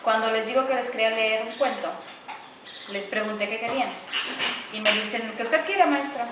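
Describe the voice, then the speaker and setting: A woman's voice: a little high, fairly quick. A woman observing a class and interviewing the teacher, attentive and affirming. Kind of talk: interview questions and feedback. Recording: echoey room, distant mic, noisy background.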